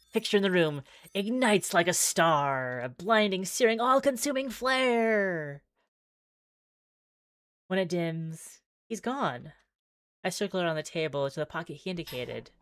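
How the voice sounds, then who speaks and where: A woman's voice: fairly low pitch, dry recording. A woman streaming a visual novel, reading the text aloud theatrically with wry, exclaiming asides.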